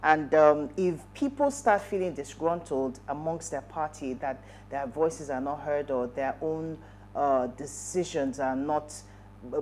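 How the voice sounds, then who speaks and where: A woman with a Nigerian accent giving news commentary as a studio talk show panelist, in halting phrases. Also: deep voice, poor audio. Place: TV studio, at a desk.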